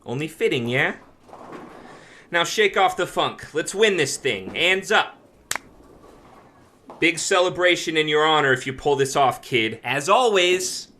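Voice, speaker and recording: Lively, bright-voiced fifties smooth talker. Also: male voice, fairly high pitch, unhurried, long pauses, a little hiss or hum. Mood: encouraging. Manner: smooth-talking, encouraging pep talk. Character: bright, peppy 1950s man coaxing a young bowler. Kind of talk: radio drama dialogue.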